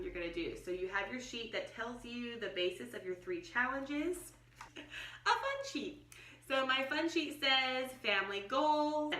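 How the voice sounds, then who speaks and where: A woman's voice: a little high, bright, forceful tone. A middle-aged American woman chatting informally as she explains things in a casual unboxing review. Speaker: homeschooling vlogger and product reviewer. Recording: roomy echo, distant mic.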